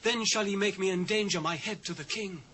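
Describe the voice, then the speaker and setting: An anxious British court official in an audio scripture drama, speaking formally and fearfully. His voice sits high, and a faint noise from an old cassette runs under it. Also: brisk, sharp tone. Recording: noisy old cassette transfer.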